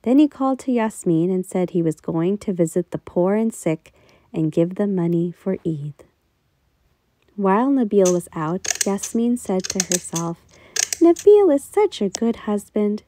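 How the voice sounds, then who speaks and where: A woman storyteller reading a children's tale with expressive, deliberate phrasing. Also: on the low side.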